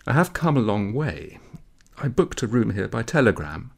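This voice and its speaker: A man's voice: mid-range voice, very animated, normal speed, gravelly, darkish tone, pitched above the performer's own voice. A man voicing an anxious, impatient traveller in a storybook reading, insistent and formal. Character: impatient, anxious male traveller visiting his father.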